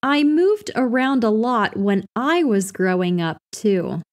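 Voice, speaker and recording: A woman reads short practice phrases for an English lesson, slowly and with clear, careful enunciation. Her voice sits a little high, and her pitch moves up and down in a lively way. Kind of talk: slow scripted reading of practice phrases.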